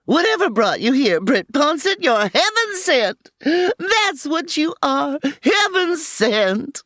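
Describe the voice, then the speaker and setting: A woman's voice: high voice, big pitch swings, takes it slowly, fairly bright tone, dry recording, studio quality, exaggerated voice. A woman playing a folksy frontier landlady. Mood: fussing. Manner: homespun Old West drawl, fussing while cooking. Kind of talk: comedy audio drama acting.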